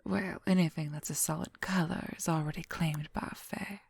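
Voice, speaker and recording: A woman voicing an affectionate, teasing werewolf lover in a soft, intimate ASMR whisper, speaking gently and playfully into a close microphone. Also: sits low, steady tone, moderate pace, creaky, slightly rough, dry recording, full character voice. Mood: casual, informative. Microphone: close microphone, dry.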